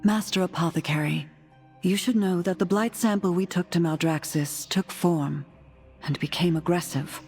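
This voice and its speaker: An actress playing a solemn, humble undead noblewoman in a video game cutscene, measured and earnest. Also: fairly low pitch, a little mellow, natural voice. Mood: grave. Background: music.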